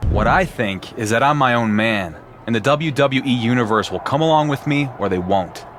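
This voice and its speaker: Game role: man as proud, confrontational male champion, mid-pitched with lively emphasis. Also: normal speed, faint music behind, close to an everyday voice. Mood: defiant. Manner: blunt, confrontational. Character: defiant, brooding male champion wrestler, proud loner. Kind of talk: acted video game cutscene dialogue.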